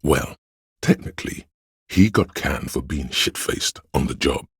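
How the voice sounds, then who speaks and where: A man voicing a wry, gossiping police officer in a video game, with a gravelly voice and a casual, sardonic way of talking. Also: rumbling low, unhurried, no room sound, studio quality, exaggerated voice. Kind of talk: dramatic game dialogue.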